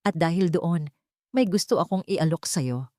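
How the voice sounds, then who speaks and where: A woman's voice: on the low side, moderate pace, warm tone, dry recording, studio quality, natural voice. A woman voicing a wealthy, reserved lady with a Filipino accent, composed, serious and authoritative, in an audio story.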